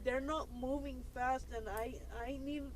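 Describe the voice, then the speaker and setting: Casting: distressed woman, high voice, somewhat slow delivery, recorded with light ambient noise. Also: fairly even intonation. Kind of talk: personal plea in a video. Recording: clear background noise, ambience.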